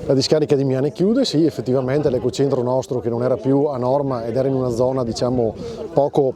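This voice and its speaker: A man giving an unscripted interview as a local official, speaking hesitantly and repeating phrases, recorded outdoors. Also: mid-range voice. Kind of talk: spoken news interview, unscripted.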